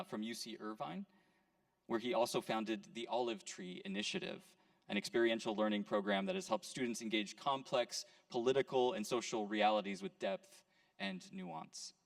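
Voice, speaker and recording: A man in a church giving a formal, measured introduction of a guest speaker. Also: mid-range voice, vocal fry, no room sound. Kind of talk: read introduction. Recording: dry, a bit far from the mic.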